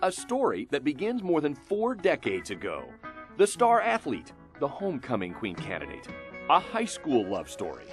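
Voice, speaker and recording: An American man narrating a scripted human-interest news feature as a reporter, in short emphatic phrases with dramatic pauses. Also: on the high side, huge pitch swings. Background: music under the voice.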